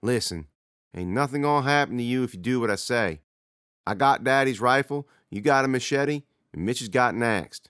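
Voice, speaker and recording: A man voicing a teasing teenage older brother who tells spooky tales in a slow, low drawl. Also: fairly bright tone, clear, resonant tone, no echo, clean studio sound, lightly acted. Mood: reassuring.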